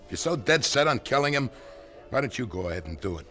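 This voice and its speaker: A man's voice: mid-range voice, sing-song, quick pace, sharp tone, faint music behind. An actor playing a bitter male former business partner in a 1970s radio drama, wary and then grimly resolved. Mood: tense.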